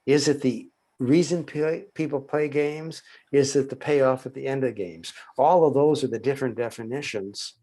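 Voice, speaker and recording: Older male trainer, measured explaining. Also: medium pitch. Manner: measured and explanatory, with soft chuckles. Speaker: workshop presenter and psychotherapy trainer. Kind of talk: teaching answers in a workshop Q&A.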